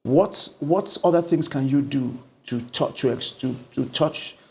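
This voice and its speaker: A middle-aged Nigerian man, a pastor talking through a book in an animated conversational way, recorded on a lapel mic in an indoor studio. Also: mid-range voice, unhurried, vocal fry. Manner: animated storytelling with lively gestures. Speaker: pastor and guest.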